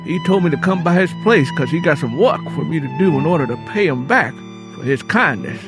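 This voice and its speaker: A man acts out a tired, worried rural working man in an audiobook, speaking in folksy vernacular. The voice sits fairly high and swings in pitch, plaintive, with faint music behind. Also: animated, fairly quick. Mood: worried, indignant. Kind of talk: audiobook character dialogue.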